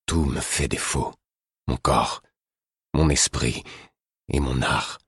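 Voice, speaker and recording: A man's voice: very deep voice, fairly even intonation, slow, fairly bright tone, slightly rough, dry recording, studio quality, pitched below the performer's own voice, full character voice. Man doing a weary, grave old king. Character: exhausted aging male king, solemn.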